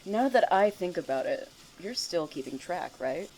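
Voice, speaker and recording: A woman's voice: fairly low pitch, lively intonation, normal speed, darkish tone, slight background noise, low-quality recording. A woman playing a smug, cryptic student who mocks and needles theatrically in an audio drama. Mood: mocking.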